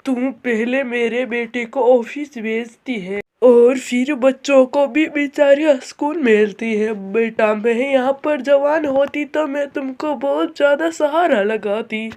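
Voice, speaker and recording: Woman as high-voiced elderly mother-in-law bird. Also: a little mellow. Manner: fussing, pitying. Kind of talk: Hindi children's cartoon voice acting.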